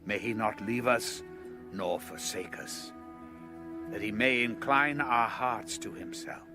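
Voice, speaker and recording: Solemn praying king, acted by a man, over music. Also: on the low side. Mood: reverent blessing. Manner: solemn, measured, reverent. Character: devout ancient king praying before his people. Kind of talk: dramatized audio Bible reading.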